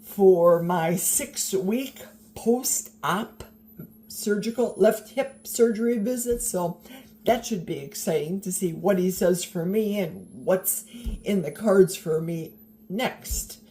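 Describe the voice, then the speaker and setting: Older woman, mellow voice, slow pace, chatty and encouraging, cooking vlog, handheld camera at home. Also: medium pitch. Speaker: vlogger. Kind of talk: casual vlog chat while cooking. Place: home kitchen.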